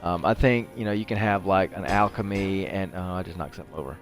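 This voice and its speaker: Deep-voiced man rambling through gameplay commentary. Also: quick pace, faint music behind. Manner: rambling, conversational, thinking aloud. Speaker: host of a video game playthrough show.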